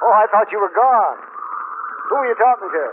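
A man's voice: sits high, lively intonation, fairly quick. An actor playing a resigned, good-natured married spaceman who faces death, in a 1950s radio drama. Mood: anxious. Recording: noisy, tinny 1950s radio recording.

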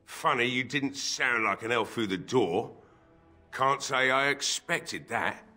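An old village blacksmith from a fantasy game, drunk and grieving. His voice is gruff and forceful, bitter and weary, with faint music behind it. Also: mid-range voice, slow pace, full character voice. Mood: gruff, curt. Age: older. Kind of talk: fantasy game dialogue acting.

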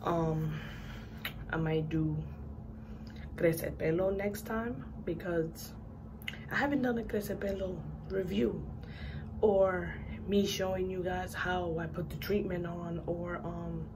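A young woman with a raspy voice, just up from sleep, chatting casually through a hair product review in a small bathroom. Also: on the low side, steady tone, slow, noisy background. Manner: chatty, rambling with fillers. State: just woke up. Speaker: beauty vlogger. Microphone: phone camera at arm's length.

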